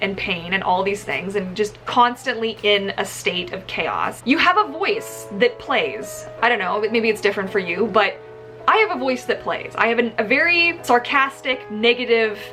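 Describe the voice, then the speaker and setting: Bright, high-voiced woman telling a story. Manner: animated, expressive, emphatic. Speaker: vlogger telling a personal story. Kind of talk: casual storytelling to camera. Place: small indoor room at home.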